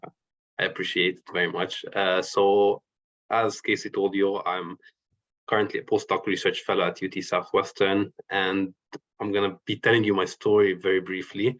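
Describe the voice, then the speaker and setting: A man in his twenties from Lebanon with a fairly low voice tells his own story to a forum. He is matter-of-fact, and the audio is dull and lo-fi, like an online call. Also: flat delivery, normal speed, fairly bright tone. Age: 20s. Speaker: medical research fellow and guest speaker. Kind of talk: personal story told as a talk.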